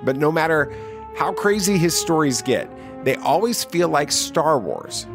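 A man's voice: fairly high pitch, lively intonation, measured pace, over music. A man hosting a podcast, reading a scripted introduction. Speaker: podcast host.

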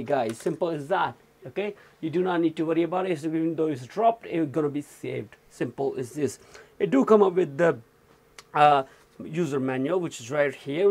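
Male product reviewer, slightly high pitch, informal hands-on demo delivery. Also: a little mellow. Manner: informal, repeatedly addresses viewers as guys. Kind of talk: hands-on gadget review demonstration. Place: indoors at a desk.

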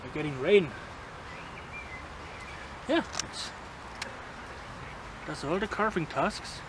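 Guy in his 30s talking slow with a lively up-and-down voice out in the woods, camera mic, forest noise behind. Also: on the high side. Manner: chatty, chuckles between remarks, slow and thoughtful. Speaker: bushcraft vlogger. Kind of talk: casual demonstration talk. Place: forest outdoors. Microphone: handheld camera mic. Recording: outdoor camera audio with background noise. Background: forest ambience.

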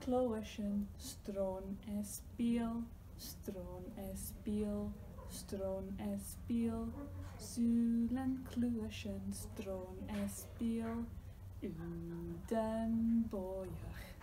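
A woman's voice: medium pitch, fairly even intonation, extremely slow, noisy background. A woman teaching young children a Gaelic rhyme about the face, patiently naming each word and its meaning before chanting it. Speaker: library storytime leader. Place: library reading corner.

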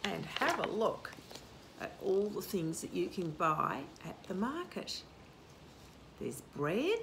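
Slow, animated older woman storyteller. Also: medium pitch, background noise. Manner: animated, sing-song, asking the listener questions. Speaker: children's storytime host. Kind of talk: reading a picture book aloud to young children. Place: outdoor garden patio. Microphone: camera a short distance away.

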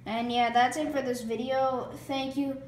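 Hoarse young woman rambling through a catalog. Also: high voice, fairly even intonation. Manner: rambling, lists items quickly with filler words. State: losing her voice, hoarse. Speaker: YouTuber flipping through a toy catalog. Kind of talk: casual off-the-cuff vlog commentary. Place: indoors, room. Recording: echoey room with clear background noise.

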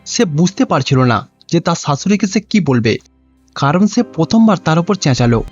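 A man's voice: on the high side, very animated, fairly quick, dry recording, lo-fi sound, soft background music. A man reading a scripted cartoon story as narrator.

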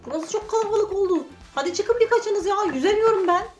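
A woman's voice: very high-pitched, expressive, brisk, soft background music, voice pushed up. A woman voicing a stubborn, grumbling child toy in children's play, whiny and complaining. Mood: grumpy.

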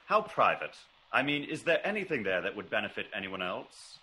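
An actor playing a keen, commanding detective, probing and theatrical, in a classic radio drama. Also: male voice, on the low side, very animated, a little fast, sharp tone, full character voice. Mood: inquisitive. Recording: noisy old radio recording.

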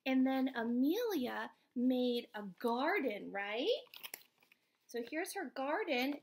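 High-voiced woman reading slowly to children. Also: fairly bright tone. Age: 30s or 40s. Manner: sing-song, exaggerated expression, prompting with questions. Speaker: children's teacher reading aloud. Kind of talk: picture-book read-aloud for young children. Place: small indoor room at home.

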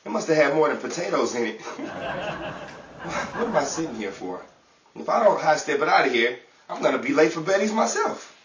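A man in his thirties playing an excitable, theatrical emcee in a 1950s-style radio show. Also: a little high, fairly quick, highs cut off. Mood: comic indignation. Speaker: host and actor in a radio-style revue. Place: small indoor room dressed as radio studio. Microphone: far camera. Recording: roomy, distant mic, noisy with audience.

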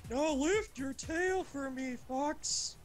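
A man voicing a dominant, teasing male wolf in slow, taunting amateur storytelling. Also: very high voice, faint music behind, voice pushed up. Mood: commanding.

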